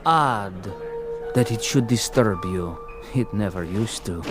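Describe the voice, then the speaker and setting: An actor playing a calm, relentless accuser in a radio drama, speaking in a sardonic, deliberate manner. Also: male voice, lowish voice, over music. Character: calm, relentless accuser haunting a former camp captain.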